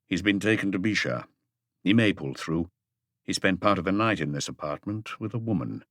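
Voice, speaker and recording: Man acting a calm, deep-voiced police chief inspector. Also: moderate pace, no echo, clean studio sound. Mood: businesslike.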